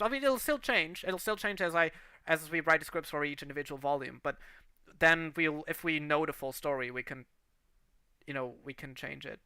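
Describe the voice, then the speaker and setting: A man from Portugal who learned English later talks through his writing plans. He rambles and restarts often. His voice sounds smooth, and the close mic keeps it dry. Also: fairly high pitch, no echo. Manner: animated, rambling with many restarts and fillers. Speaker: series creator and writer. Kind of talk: casual livestream chat.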